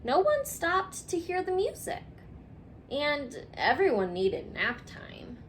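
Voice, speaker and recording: A woman reading a picture book aloud for children, expressively and with dramatic pauses, speaking directly to her young listeners. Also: high voice, wildly swooping pitch, a little hiss or hum. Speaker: children's story reader.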